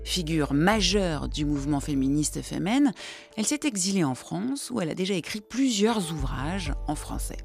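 Woman host, lowish voice, scripted radio delivery, faint music bed. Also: animated, normal speed, gravelly, a little mellow. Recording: broadcast voice with light music underneath.

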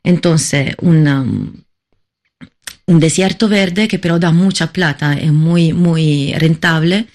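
A woman from Italy, a filmmaker who is not a Spanish speaker, answering interview questions in a halting way, often searching for words and restarting. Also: low voice, darkish tone. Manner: halting, searching for words, many repeated starts. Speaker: documentary filmmaker, interview guest.

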